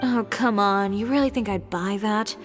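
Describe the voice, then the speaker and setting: A mid-pitched, unhurried voice, a woman playing a skeptical woman who needles others with dry humor. Quiet game music sits far in the background. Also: lightly acted. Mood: wry. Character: dry, wry woman designer, unimpressed.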